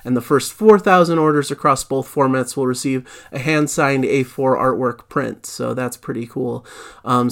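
A man hosting a casual music news roundup in an ordinary, middle-pitched voice. He talks in an easygoing, unscripted way, with frequent ums and restarts. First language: not Italian. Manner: easygoing, many fillers and restarts.

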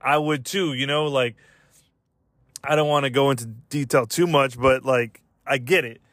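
A man's voice: mid-range voice, fairly even intonation, normal speed, fairly bright tone, dry recording, studio quality. A man hosting a podcast, rambling casually and comedically in slangy, profane English as he retells everyday exchanges. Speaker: podcast host.

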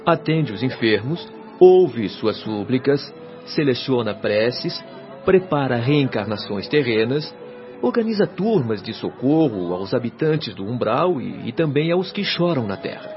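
Solemn, devoted afterlife guide, radio drama, formal teaching tone with wide intonation and faint music. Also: male voice, medium pitch, sing-song, unhurried, highs cut off. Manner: formal, didactic, admiring. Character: devoted, solemn male resident helper of a spirit colony. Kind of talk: Brazilian radio soap acting.

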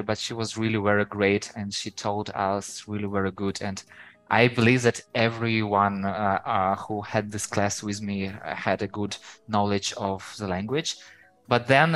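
A man's voice: lowish voice, fairly bright tone, no room sound, rough recording. A young man with a Ukrainian accent, chatting casually as a podcast guest, wandering through his answers with many fillers and restarts. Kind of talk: casual interview chat.

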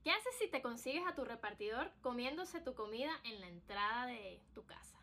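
Bright, high-voiced Venezuelan woman, playful. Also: sing-song, normal speed, a little hiss or hum. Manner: playful, informal. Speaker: podcast co-host. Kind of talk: casual chat.

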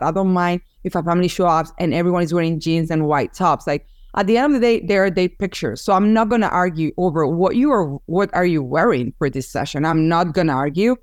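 A woman's voice: lowish voice, darkish tone, no echo, studio quality. A woman whose first language is Spanish, talking through her work in a casual interview and often restarting as she hunts for words. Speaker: business owner, photographer.

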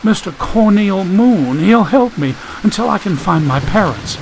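A man's voice: sits high, expressive, moderate pace, creaky, a little hiss or hum, pitched above the performer's own voice, poor audio. A man voicing a frightened, sick young woman in an audiobook, plaintive and polite. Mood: pleading. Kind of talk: audiobook character voice.

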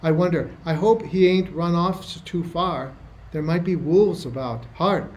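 A man's voice: a little high, measured pace, natural voice. A man playing a bustling, kindly working-class mother in a radio play, with a folksy, exclamatory manner. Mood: homely, fretful. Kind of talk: amateur radio play acting. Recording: noisy amateur radio play recording.

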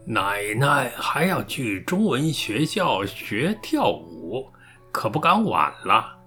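A woman's voice: rumbling low, very animated, slow, sharp tone, husky, heavily acted. A woman playing a mild old grandparent in a radio drama. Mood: dry, mild. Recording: music under the voice.

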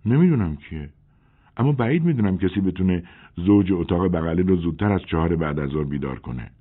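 A man voicing a nervous, fragile young woman in an audiobook, with a husky tone on tinny phone-like audio. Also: low-pitched, expressive. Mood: anxious. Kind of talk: audiobook character voice.

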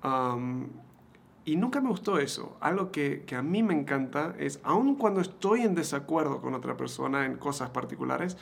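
Creaky-voiced Argentine man in his thirties. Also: a little high, lively intonation, normal speed, slight background noise. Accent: Argentine Spanish. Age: 30s. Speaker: podcast host and interviewer. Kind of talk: conversational podcast introduction and interview.

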